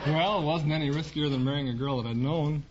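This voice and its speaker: A man's voice: a little high, fast, a crowd in the background. A young man, a quiz show contestant, answering the host casually. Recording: muffled noisy vintage radio, audience behind.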